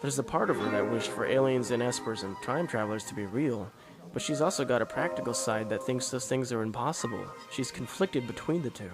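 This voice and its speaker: A man voicing a calm, polite teenage esper boy in an amateur anime fan dub, explaining things in a composed, courteous way. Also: mid-range voice, fairly quick, lightly acted. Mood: calm, explanatory. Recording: loud music under the voice.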